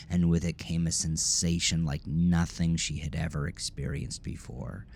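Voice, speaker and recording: A man's voice: rumbling low, gravelly, a little hiss or hum. A man narrating a scripted psychological horror story as a dramatic narrator.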